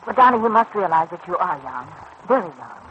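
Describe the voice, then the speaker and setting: A calm, sensible confidante, muffled radio. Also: female voice, on the low side. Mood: gently cautioning. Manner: measured, reassuring, gently advising. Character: calm, kindly, sensible older confidante woman. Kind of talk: 1940s-50s radio serial acting. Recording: dull, noisy old radio recording.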